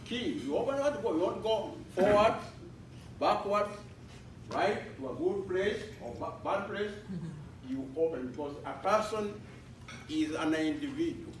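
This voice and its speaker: A man from Kenya with a Kenyan accent, giving an informal talk to a club in a halting way, repeating phrases. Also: sits high, animated. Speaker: visiting speaker. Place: breakfast meeting hall. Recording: echoey room recorded from far off, noisy.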